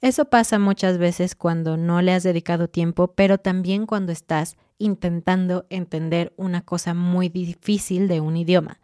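A woman hosting a podcast for Spanish learners, giving clear, encouraging advice with lively asides. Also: lowish voice, moderate pace, hardly any pauses, dry recording, clean studio sound. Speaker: Spanish teacher and podcast host.

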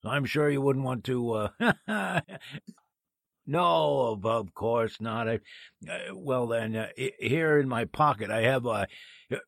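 An actor playing a sleazy, fussily polite gossip columnist who talks haltingly, in old-time radio drama style. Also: male voice, medium pitch, animated, gravelly, a bit bright, dry recording, clean studio sound, exaggerated voice. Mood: nervous, pleading. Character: sleazy gossip columnist, oily man, nervously pleading.

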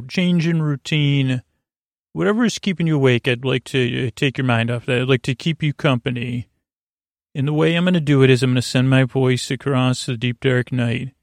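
A man with a creaky voice hosting a bedtime podcast, speaking in a meandering, halting monologue full of goofy tangents and self-interruptions. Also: medium pitch, no room sound, studio quality. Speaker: podcast host. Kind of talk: rambling bedtime monologue for sleep.

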